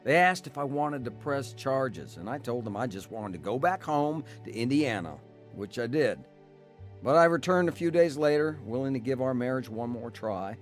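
A man's voice: mid-range voice, lively intonation, normal speed, faint music behind, close to an everyday voice. An actor playing a rebellious, hard-drinking young man in a radio drama testimony. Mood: reflective. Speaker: dramatised testimony narrator and lead. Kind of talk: radio drama narration and acting.

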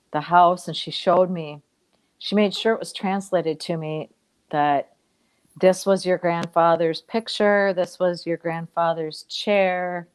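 Low-voiced woman telling family stories. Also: clean tone. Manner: warm, rambling, reflective storytelling. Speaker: guest. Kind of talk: casual storytelling in an interview. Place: room at home. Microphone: webcam on a video call.

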